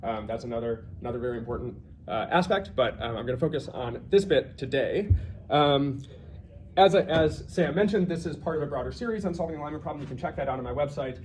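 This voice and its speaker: A man's voice: medium pitch, lively intonation, fast talker, a little mellow. A man speaking as a guest researcher, giving an informal technical lecture to colleagues and often rewording himself as he goes. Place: talk to a small in-house audience. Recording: faint background noise.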